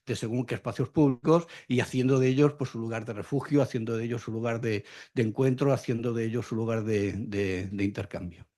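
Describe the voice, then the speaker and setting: A man on a discussion panel builds his point slowly, repeating phrases while he looks for the right word. His voice has an ordinary male pitch. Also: fairly even intonation, mellow. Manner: deliberate, repeats phrases while searching for words. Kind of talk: round-table discussion.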